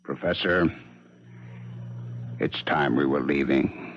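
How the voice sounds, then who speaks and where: An actor playing a blunt, wry American police detective lieutenant in a 1970s radio drama. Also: male voice, very deep voice, slow pace. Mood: businesslike. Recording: noisy, dull 1970s radio broadcast.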